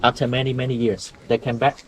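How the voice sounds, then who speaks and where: A man's voice: medium pitch, level tone, fairly quick, a little hiss or hum, poor audio. A man with a Vietnamese accent, a native Vietnamese speaker, explaining things to visitors as a tour guide in simple, broken English, joking and chuckling often. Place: outdoors at ancient temple ruins.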